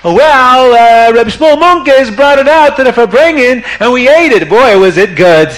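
Sheepish, gushing helper, voiced by a male storyteller, bright and high. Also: animated, unhurried, full character voice. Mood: cheery. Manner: hesitant, then enthusiastic.

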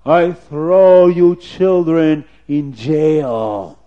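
A man's voice: on the high side, steady tone, takes it slowly, mellow, muffled recording. A man voicing a vain, easily angered male officer in a children's story, self-important and speaking stilted broken English. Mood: outraged, imperious.

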